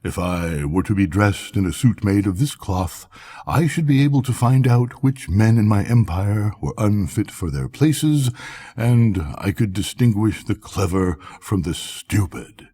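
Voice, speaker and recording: A man's voice: lowish voice, animated, a little slow, mellow, husky. A man voicing a vain, self-assured emperor who muses pompously in a storybook. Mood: eager.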